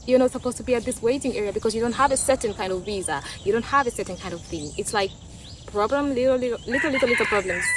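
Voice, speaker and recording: Tanzanian woman vlogging in english, swahili first language, casual and reflective, some faint rural noise behind her. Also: mid-range voice. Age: young adult. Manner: thoughtful, restarts and repeats words. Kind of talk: casual opinion talk.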